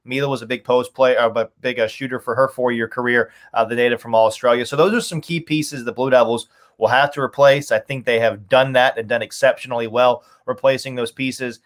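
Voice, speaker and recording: A man's voice: mid-range voice, steady tone, fairly quick, hardly any pauses. A man in his thirties, a sports announcer, talking conversationally as a podcast guest on a video call from home. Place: small home room, indoors.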